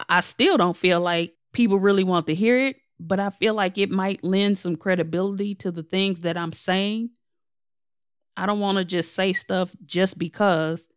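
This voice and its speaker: A young woman, slightly hoarse, hosting her own podcast in a casual, candid and self-deprecating way. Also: medium pitch, no room sound, studio quality. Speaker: podcast host. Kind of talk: casual solo podcast update.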